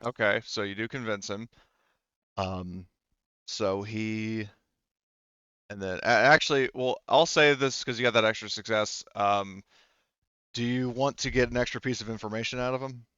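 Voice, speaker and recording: A man's voice: on the low side, fairly even intonation, normal speed, pauses often, sharp tone, clear, resonant tone, dry recording. A man narrating casually as a tabletop game master, hesitant and rambling, heard on slightly muffled audio.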